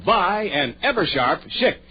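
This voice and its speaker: A man's voice: sits high, very animated, unhurried. A man announcing a 1940s radio commercial with punchy salesman delivery. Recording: muffled vintage radio broadcast. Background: music.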